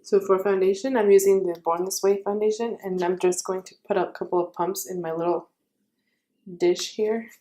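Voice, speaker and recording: Young female beauty vlogger, raspy, warm low-brightness tone, casual chatty tutorial delivery. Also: steady tone, moderate pace. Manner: chatty, self-correcting, friendly advice.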